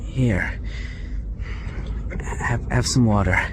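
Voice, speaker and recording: A man's voice: on the low side, very slow. A man acting an earnest, stubborn seventeen-year-old colonial son going to war in a radio drama, speaking insistently. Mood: concerned. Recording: clearly noisy scene ambience.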